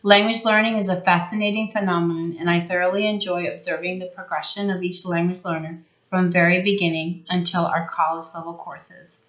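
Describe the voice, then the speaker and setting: A middle-aged woman, a high school Spanish teacher, giving a prepared, earnest award acceptance statement. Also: flat delivery, brisk, a bit bright. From New York State, US. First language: Italian. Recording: echoey room, dull lo-fi sound.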